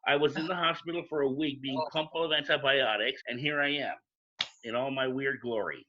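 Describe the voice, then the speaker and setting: A man's voice: medium pitch, fairly even intonation, fairly quick, sharp tone. A man chatting casually on a video call, telling stories in a blunt, emphatic way. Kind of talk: casual storytelling chat. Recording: dull, lo-fi call audio.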